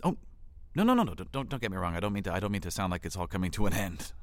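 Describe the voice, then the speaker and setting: A nervously chipper event organizer, stammers, way too polite, voice jumps all over, audio drama comedy. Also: male voice, low voice, very animated, racing, no echo. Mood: flustered backpedaling. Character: flustered, over-polite male event organizer hiding exasperation. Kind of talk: satirical audio drama acting.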